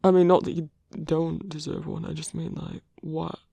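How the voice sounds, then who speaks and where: A man playing a grumpy but tender werewolf lover in human form, speaking hesitantly with sighs and a husky, gravelly voice in an intimate ASMR roleplay. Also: on the high side, little pitch movement, warm tone, no echo. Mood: gruff, flustered. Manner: halting, hesitant, sighing, gruffly bashful.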